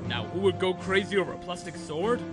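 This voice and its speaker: A man's voice: sits high, expressive, brisk, over music. A man playing an earnest television newsreader delivering a formal parody special bulletin. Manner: formal announcing.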